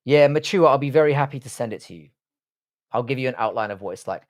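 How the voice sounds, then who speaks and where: A man with a London accent and a medium-pitched voice hosts a casual live stream. He reads out and answers comments as he goes. His way of talking is informal and matey, with free swearing. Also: expressive, fast. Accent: London English. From London, England.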